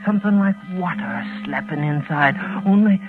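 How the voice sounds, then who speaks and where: An actor playing a frightened, awed young villager in a radio horror drama, talking in rustic dialect. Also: male voice, fairly high pitch, lively intonation, normal speed, over music, close to an everyday voice. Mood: uneasy awe. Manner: rustic, dialect grammar, hushed wonder. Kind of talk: 1940s radio horror acting. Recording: tinny, narrow old radio recording.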